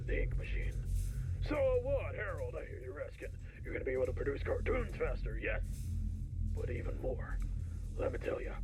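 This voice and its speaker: A man playing a proud, visionary cartoon studio founder giving a halting, earnest, folksy recorded address in an audio drama. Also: fairly high pitch, gravelly, rough recording. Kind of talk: old-time recorded announcement, audio drama.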